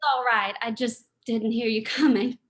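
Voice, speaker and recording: A woman's voice: on the high side, fairly even intonation, unhurried, natural voice. A woman playing an alert young lady, a detective's loyal companion, earnest and theatrical in a radio drama. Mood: polite. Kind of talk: old-time radio drama acting.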